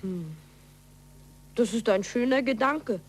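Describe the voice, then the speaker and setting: Curious schoolboy of twelve, earnest, high and a touch husky, vintage radio play. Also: female voice, slow. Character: earnest twelve-year-old boy, curious pupil of an astronomer. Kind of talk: 1940s German radio play acting.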